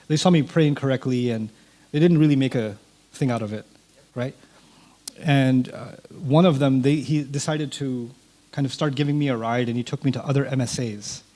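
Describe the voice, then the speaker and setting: A man whose first language is Urdu, a guest in an interview, telling a personal story in an animated way and acting out quoted dialogue. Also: medium pitch, a little fast.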